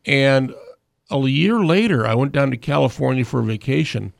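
Man, radio talk host, raspy, joking, dry studio mic. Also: mid-range voice, expressive, normal speed, no room sound. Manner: jokey, interjecting. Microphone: studio microphone.

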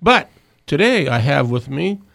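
A man's voice: on the high side, sing-song, unhurried, fairly bright tone, no echo. A man, hosting a casual radio talk show with jokey interjections. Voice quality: raspy. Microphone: studio microphone.

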